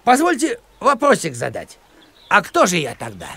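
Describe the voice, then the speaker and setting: High, creaky fussy village postman. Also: wildly swooping pitch, moderate pace, heavily acted. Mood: polite, flustered. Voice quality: creaky, sharp. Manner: officious, quaint polite turns of phrase. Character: fussy, rule-bound middle-aged village postman, easily flustered. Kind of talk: animated cartoon acting.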